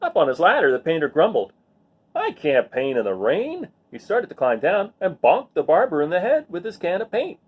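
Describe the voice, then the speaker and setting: A man's voice: sits high, fairly quick, hardly any pauses, husky, phone-quality sound. A man reading a picture book aloud expressively, with casual asides. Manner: expressive, acts out the lines. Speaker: read-aloud storyteller.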